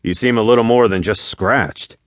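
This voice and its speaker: A man's voice: lowish voice, moderate pace, no echo, clean studio sound, pitched above the performer's own voice, natural voice. A man voicing an earnest, plain-spoken, determined hero in a fantasy audiobook, with informal phrasing. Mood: concerned. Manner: earnest, informal, hesitant at times. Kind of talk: audiobook character voice.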